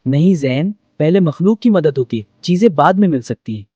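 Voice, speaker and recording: A man voicing a wise talking owl, the solemn guardian of a palace, speaking in a measured, instructive way for a children's cartoon. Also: on the high side, expressive, warm tone, no echo, lo-fi sound. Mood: firm, admonishing. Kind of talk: children's moral cartoon story acting. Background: music.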